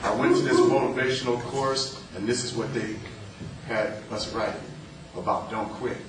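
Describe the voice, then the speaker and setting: A man in his fifties with a loud voice, reciting a poem to a rally. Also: medium pitch, steady tone. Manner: loud, declaiming with slow emphatic delivery. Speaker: rally speaker. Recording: echoey, distant, with clear background noise.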